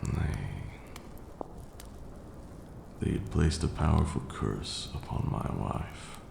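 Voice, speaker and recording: A man playing an ancient vampire lord, proud, wistful and tender, telling his tale in a hushed, formal, measured way as an intimate ASMR roleplay. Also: very deep voice, creaky, reverberant, heavy background noise, heavily acted. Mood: wistful, grave.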